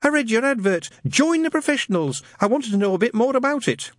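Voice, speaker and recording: Man doing a squeaky, stammering nervous young man. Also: animated, brisk, no room sound, studio quality. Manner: hesitant, stuttering.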